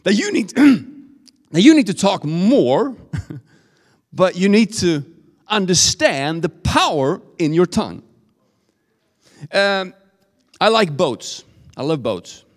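A man's voice: high-pitched, sing-song. Give me a Swedish man giving a sermon in English, chatty and stop-start, with a slightly raised voice. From Sweden. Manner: conversational, halting with restarts. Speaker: preacher. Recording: reverberant church hall.

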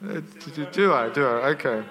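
A man's voice: mid-range voice, animated, moderate pace, a bit bright, long reverb tail, poor audio. A man preaching a sermon, earnest and conversational, with asides to the congregation.

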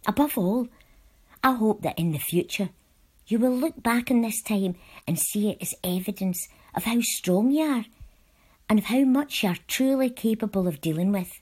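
A woman reading personal letters aloud from a script in a measured, earnest way. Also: mid-range voice, darkish tone, slightly rough. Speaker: volunteer reader.

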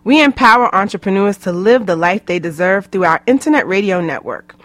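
A woman's voice: mid-range voice, lively intonation, a little fast, fairly bright tone, no echo. A woman coach delivering a scripted radio promo on a close mic.

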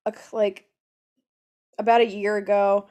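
A young woman co-hosting a casual podcast, talking loudly with frequent swearing and laughter into an improvised mic. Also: medium pitch, little pitch movement, normal speed. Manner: loud, swearing, laughing often. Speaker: podcast co-host. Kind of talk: casual chat. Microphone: improvised mic held between her legs.

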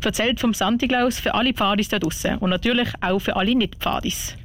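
A woman's voice: mid-range voice, a little fast, a bit bright. A woman presenting a radio show as its host.

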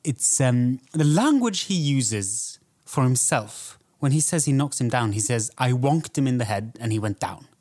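Man chatting in measured, halting phrases. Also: medium pitch, lively intonation, a little mellow. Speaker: podcast co-host. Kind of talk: casual film podcast chat.

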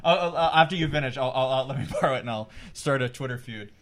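A man's voice: medium pitch, fast talker, a bit bright, slight background noise. A man co-hosting a podcast in casual banter, opinionated and playfully combative. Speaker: podcast co-host.

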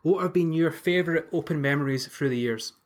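A man hosting a podcast discussion, asking long leading questions and teasing his guests gently. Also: on the high side, a little fast. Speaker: podcast host.

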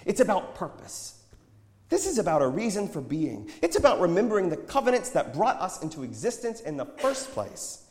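A man's voice: high-pitched, sing-song, moderate pace, darkish tone, pitched above the performer's own voice. A man playing an impassioned prophet preaching in a reverberant hall. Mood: impassioned, pleading. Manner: declamatory, pleading, pointed. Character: impassioned male prophet, tender yet challenging street preacher. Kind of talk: dramatized scripture storytelling. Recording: echoey hall with long reverb.

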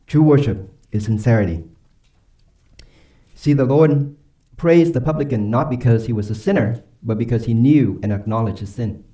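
A man preaching a sermon and leading a closing prayer, earnest and emphatic, slowing down and dropping softly on key phrases. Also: mid-range voice, low-quality recording. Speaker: pastor.

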